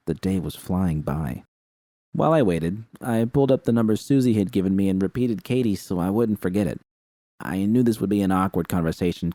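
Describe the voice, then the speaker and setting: Deep, mellow male story narrator. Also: no echo. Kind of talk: first-person scripted fiction narration.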